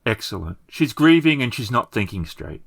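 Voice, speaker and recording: A man's voice: medium pitch, sing-song, normal speed, vocal fry, husky, no room sound, studio quality, pitched above the performer's own voice, full character voice. A man voicing a pragmatic, money-minded villager who speaks respectfully and persuasively in a tabletop game. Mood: reasonable, placating.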